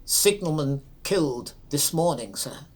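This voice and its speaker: A grave workman in a storybook ghost tale, played by a male reader. He speaks slowly and solemnly, with the deference of a labourer breaking bad news to a gentleman. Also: a little high, animated, pitched below the performer's own voice. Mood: grave, deferential. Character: grave, respectful workman bearing news of a death.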